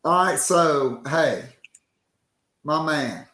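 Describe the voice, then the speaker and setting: Hoarse male sports podcast host, slow. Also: a little high, expressive, bright, forceful tone, roomy sound. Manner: loose and joking, slow drawn-out delivery. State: hoarse, worn-out voice. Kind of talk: casual sports talk.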